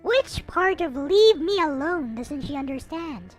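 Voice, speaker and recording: A woman voicing a bitter, irritable young visual novel character, sharp and brusque. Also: high voice, animated, a little slow, faint music behind. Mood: angry, brusque. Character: bitter, irritable young person losing their sight.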